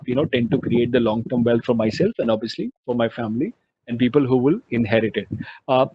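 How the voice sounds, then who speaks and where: A man giving an earnest, explanatory webinar talk, often pausing on "you know" and "uh". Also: mid-range voice, no room sound, lo-fi sound. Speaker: webinar presenter on mutual fund investing.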